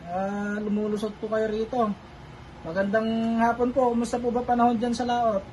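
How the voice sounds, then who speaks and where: A man's voice: very high-pitched, completely flat delivery, moderate pace, darkish tone, a little hiss or hum, poor audio. A polite man making a casual two-way radio call as an amateur operator, repeating himself for clarity. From Philippines.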